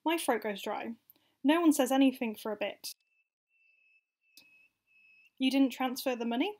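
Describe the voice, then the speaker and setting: A woman's voice: high-pitched, unhurried, darkish tone. A young woman acting out a wry, frank, confessional monologue as narrator of a radio play. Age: young adult.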